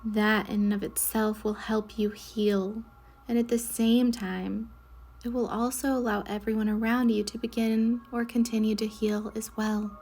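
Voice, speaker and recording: A woman leading a guided meditation in a soothing, measured way, with soft breathy endings to her phrases. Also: a little high, flat delivery. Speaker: guided meditation leader, Reiki instructor. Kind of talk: slow guided meditation narration.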